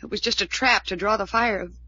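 A woman's voice: mid-range voice, steady tone, fast, bright, forceful tone, dry recording. An actress playing an earnest, trusting young woman in a 1940s radio serial. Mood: confiding. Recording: muffled old-time radio recording.

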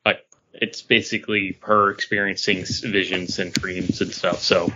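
A man's voice: sits low, level tone, moderate pace, very noisy. A man co-hosting a podcast, talking casually about comic books on a slightly muffled, lo-fi recording. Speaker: podcast co-host. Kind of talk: casual comic book chat. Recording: dull lo-fi recording.

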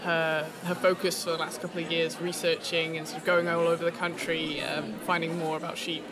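Chatty podcast host over festival crowd. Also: female voice, deep voice, little pitch movement, a little fast. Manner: chatty, enthusiastic, laughs often. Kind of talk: interview. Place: yarn festival venue.

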